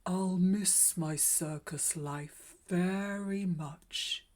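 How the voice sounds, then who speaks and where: A woman voicing a sad, gentle old retired circus clown in a storybook, speaking slowly and solemnly. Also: on the low side. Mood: wistful.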